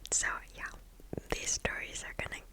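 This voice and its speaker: A woman reading stories aloud for ASMR in a breathy, hushed whisper, close to the microphone. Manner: slow, hushed, deliberate.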